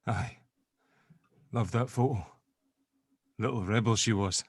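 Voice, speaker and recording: A man's voice: fairly low pitch, takes it slowly, slightly rough, dry recording, clean studio sound, heavily acted. An actor playing a nervous, guilt-stricken man who stammers and swears in a dramatic script read-through. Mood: weary, bitter.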